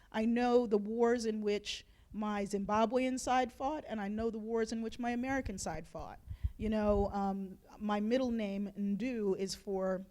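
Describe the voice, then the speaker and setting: A woman's voice: medium pitch, darkish tone. A woman moderating a panel discussion, talking chattily with lots of "you know" and "like". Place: lecture hall event.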